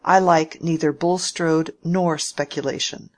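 A reader voicing a proud, courteous young gentleman who speaks formally. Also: medium pitch, steady tone, measured pace, close to an everyday voice. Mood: polite defensive. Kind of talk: audiobook character reading.

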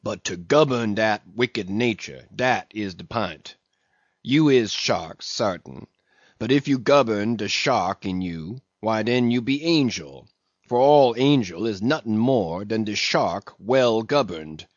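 A man's voice: on the low side, lively intonation, no echo. A man doing a mumbling old ship's cook. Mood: preaching. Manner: mumbling, slow sermonizing. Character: old limping ship's cook preaching, sullen. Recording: dull lo-fi recording.

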